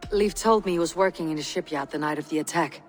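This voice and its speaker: An actress playing a probing, reserved Viking warrior investigator in a video game cutscene. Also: female voice, low voice, fast, soft background music, natural voice. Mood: grave, questioning.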